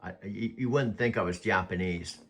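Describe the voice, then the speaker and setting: A man's voice: deep voice, normal speed, fairly bright tone. A man with an American accent hosting a video, chatting in a rambling, folksy storytelling way. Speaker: video host. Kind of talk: casual chat.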